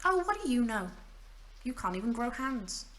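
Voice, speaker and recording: A woman's voice: on the high side, very animated, normal speed, a bit bright. A woman playing a stranded, centuries-lonely mage in an audio drama, wry and bitter yet fragile, rambling in self-mocking asides. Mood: bitter, distrustful. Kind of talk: audio drama monologue, naturalistic acting.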